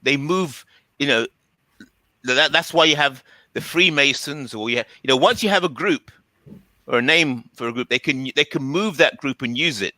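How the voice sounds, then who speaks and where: A man with a bright, forceful voice talks at length in a casual discussion. He explains in a halting way, often repeating words. The sound is dry, with very little room around him. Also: mid-range voice, lively intonation, dry recording, low-quality recording. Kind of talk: casual conversational monologue.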